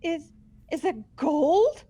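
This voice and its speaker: A woman's voice: very high voice, lively intonation, slow pace, dark tone, full character voice. A woman voicing a timid young girl student in a fan-dubbed mystery game, hesitant and stammering. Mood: timid, unsure.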